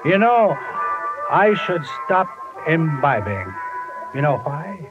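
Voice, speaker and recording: A man's voice: a little high, big pitch swings, slow pace. A man playing a rambling, forgetful, self-mocking drunken old informant in a 1950s radio drama, slurring grandly. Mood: muddled. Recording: tinny vintage radio recording, music behind.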